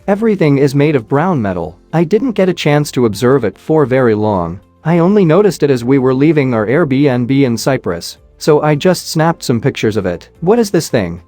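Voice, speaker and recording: A man giving measured, scripted narration, reading questions and answers evenly with no fillers. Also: mid-range voice, a little mellow, no echo.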